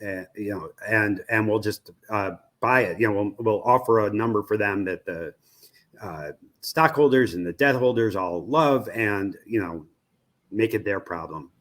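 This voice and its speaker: Low-voiced American man, thoughtful and halting. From United States. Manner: thoughtful, halting, often restarting mid-phrase. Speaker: media research executive, interview guest. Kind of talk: interview answers, conversational analysis.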